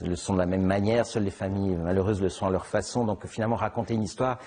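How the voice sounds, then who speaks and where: A middle-aged man from Switzerland, answering interview questions in a halting way, with many restarts and fillers. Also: sits low, fairly even intonation, warm tone. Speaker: interviewed author. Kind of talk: conversational interview.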